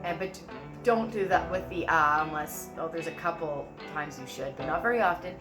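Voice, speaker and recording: Canadian lady doing a casual accent lesson, kinda low voice, wanders off track, sounds out words, music in the background. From Saskatchewan, Canada. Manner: rambling, repeats words slowly with exaggerated vowels. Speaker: amateur accent tutor, actress. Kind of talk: informal tutorial, demonstrating sounds word by word.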